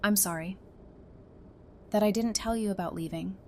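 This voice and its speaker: A woman's voice: medium pitch, normal speed, a little hiss or hum, natural voice. A woman playing a caring, frank apocalypse survivor in a naturalistic audio drama. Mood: rueful, frank. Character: caring, frank female zombie-apocalypse survivor, hurt by betrayal.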